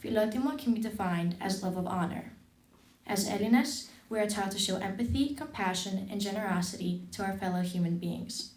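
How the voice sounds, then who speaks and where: A woman's voice: medium pitch, moderate pace, echoey room. A teenage girl reading a formal, earnest thank-you speech as a scholarship recipient at an event. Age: teens. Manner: formal, earnest, steady delivery. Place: event hall.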